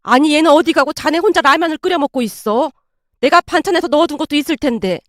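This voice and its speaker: A woman's voice: sits high, fast talker, fairly bright tone, no room sound, studio quality. A woman playing a blunt, scolding older mother-in-law. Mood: indignant, fussing. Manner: indignant, blunt, berating.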